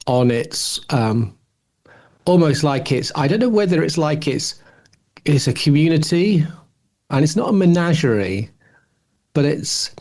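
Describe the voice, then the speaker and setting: A man's voice: on the high side, a little mellow. A man co-hosting a casual podcast discussion, musing tentatively and often rewording his sentences midway. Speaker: podcast co-host.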